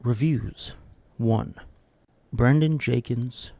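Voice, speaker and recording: A man with a low, gravelly, husky voice hosting a deadpan comedic reading of recipes. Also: extremely slow, no room sound. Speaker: host reading recipes and comments.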